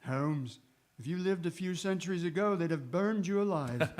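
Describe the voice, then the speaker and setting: An actor playing a genial, admiring gentleman companion and chronicler in a radio drama, with a genteel, old-fashioned manner. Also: on the high side, normal speed, lightly acted. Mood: genial, curious. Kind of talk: radio drama acting and narration.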